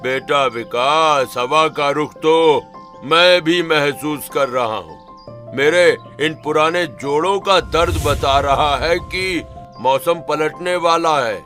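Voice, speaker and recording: A man's voice: on the high side, slow pace, pushed and bright, soft background music. A man voicing an elderly farmer father, a weighty, commanding village patriarch in a cartoon story. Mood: weighty, reassuring. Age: older.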